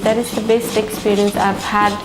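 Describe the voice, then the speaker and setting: A woman's voice: mid-range voice, flat delivery, moderate pace, noisy background. A woman from Nepal with a Nepali accent, speaking as a group leader in a documentary interview. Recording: music under the voice.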